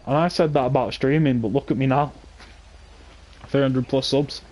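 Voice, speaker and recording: A man's voice: mid-range voice, normal speed, darkish tone. A young man with an English accent, chatting casually and offhandedly with viewers while hosting a game stream. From England. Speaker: streamer hosting a car meet. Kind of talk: casual gaming stream chat.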